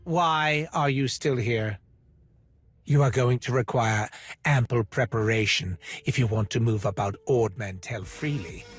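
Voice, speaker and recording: An actor playing a haughty, disdainful Imperial operative in a video game, condescending and pompous with precise wording. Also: male voice, medium pitch, a little slow, husky, soft background music.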